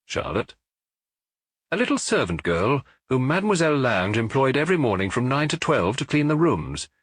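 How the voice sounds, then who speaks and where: A man reading an audiobook, voicing a middle-aged male police officer who gives his report in a measured, matter-of-fact way. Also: medium pitch, big pitch swings, husky, no echo. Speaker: audiobook reader.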